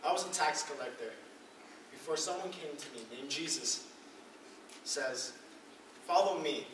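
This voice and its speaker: A man playing an excitable former tax collector turned disciple, delivering an emphatic stage monologue. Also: fairly high pitch, a little slow. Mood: excited. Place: reverberant indoor hall, recorded from afar. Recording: echoey hall, distant mic, faint noise.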